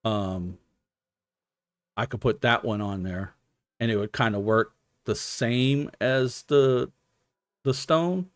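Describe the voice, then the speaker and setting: Slow-talking man streaming a game. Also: mid-range voice, no room sound. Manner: thinking out loud, explanatory, hesitant. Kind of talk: casual gaming stream commentary.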